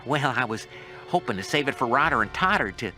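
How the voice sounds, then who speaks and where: A man's voice: medium pitch, lively intonation, brisk, sharp tone, soft background music. A man voicing a meek, folksy, chirpy suburban neighbour in a cartoon game, with cutesy sing-song talk. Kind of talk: cartoon game cutscene acting.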